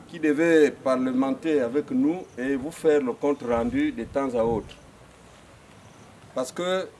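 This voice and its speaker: An older man with a Gabonese accent, an elder whose first language is Ipounou, making a halting formal speech at a customary wedding. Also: mid-range voice, warm tone, slight background noise, poor audio. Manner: halting, searching for French words, many fillers.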